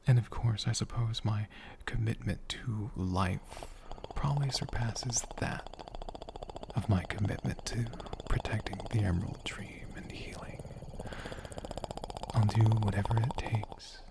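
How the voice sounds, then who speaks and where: A man speaking softly and gently in an ASMR style, breathy and often whispering, rambling unhurriedly as he answers questions off the cuff. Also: on the low side, measured pace. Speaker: ASMR creator and channel host. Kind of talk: soft-spoken ASMR, unscripted question-and-answer.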